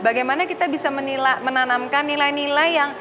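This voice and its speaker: A woman hosts a relaxed talk show and keeps nudging her guests along with fillers. Her voice sits a bit high. It rings with a long reverb, and music plays clearly behind her. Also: fast talker. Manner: chatty, prompting guests with many fillers. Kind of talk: casual studio talk show chat. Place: studio set styled as a family room.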